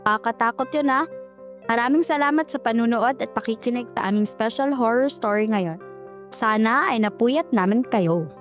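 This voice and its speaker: A woman's voice: a little high, normal speed, soft background music. Woman co-host closing a horror show. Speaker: co-host of a horror story channel. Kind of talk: scripted outro.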